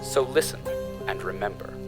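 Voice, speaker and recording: A man playing a cheerful corporate sponsor announcer in an upbeat sales-pitch manner. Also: lively intonation, normal speed, lightly acted, poor audio. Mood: salesy. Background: plucked guitar music.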